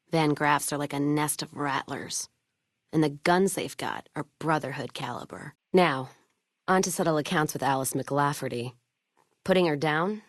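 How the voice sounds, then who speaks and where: Low-voiced, tough wasteland trader woman. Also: no room sound, clean studio sound. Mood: vengeful. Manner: blunt, folksy, wry drawl of plain talk. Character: tough, foul-mouthed, vengeful female wasteland caravan trader. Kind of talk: video game dialogue acting.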